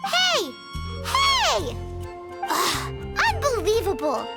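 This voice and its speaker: Super high squeaky fairy kid sidekick, cheeky, swoops all over, game music behind. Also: female voice, huge pitch swings, extremely slow, creaky, exaggerated voice. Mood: scolding, sassy. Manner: refers to self by name, indignant exclamations. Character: tiny chirpy female fairy companion, childlike, cheeky. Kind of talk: English video game voice acting.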